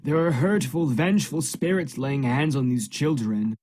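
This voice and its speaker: A man acting a forceful, suspicious Puritan husband in a radio play, insistent and formal in archaic language. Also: medium pitch, lively intonation, normal speed, natural voice. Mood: accusing, insistent. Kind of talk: period stage drama acting, radio play.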